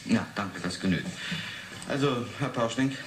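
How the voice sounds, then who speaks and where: A man's voice: on the low side, a little slow, lightly acted. An actor playing a sceptical male investigator in a radio play, questioning curtly and insistently. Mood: dry, businesslike. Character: probing, sceptical male police interrogator. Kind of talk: 1980s German radio play acting. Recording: echoey room, faint noise.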